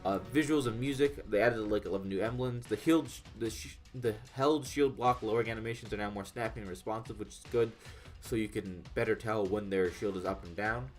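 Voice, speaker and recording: A man's voice: fairly low pitch, brisk. Nasal, congested young man rambling. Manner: rambling, profane, frequent fillers and restarts. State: congested, under the weather. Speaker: gaming YouTuber. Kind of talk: casual commentary reading patch notes. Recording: faint background music.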